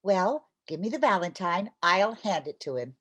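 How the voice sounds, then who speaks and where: An actress playing a well-meaning, practical housewife in an old-time radio comedy, wry and easily exasperated. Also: female voice, lowish voice, sing-song, moderate pace, a bit bright, breathy edge, exaggerated voice. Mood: helpful. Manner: wry, emphatic.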